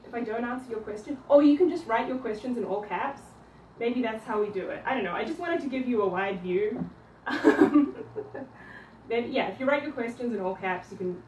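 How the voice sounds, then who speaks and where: A woman's voice: mid-range voice, far from the mic, a little hiss or hum. Hesitant woman from New Zealand, echoey room. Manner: hesitant, many ums and restarts. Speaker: art teacher giving a studio tour. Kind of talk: casual livestream chat. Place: her art studio, indoors.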